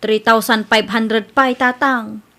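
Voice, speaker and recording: A woman's voice: on the high side, steady tone, slow pace, pushed and bright, full character voice. A woman with an Ilocano accent playing an evasive younger woman short of money, wheedling in a comedy radio drama. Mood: pleading.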